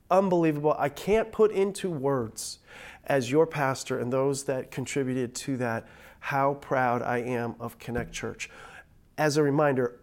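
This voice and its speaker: Pastor, male, middle-aged, slightly higher pitch, stuffy-nosed from a cold, chatty and playful. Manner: upbeat, joking, conversational. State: nasal from a cold. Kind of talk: pre-recorded online church message.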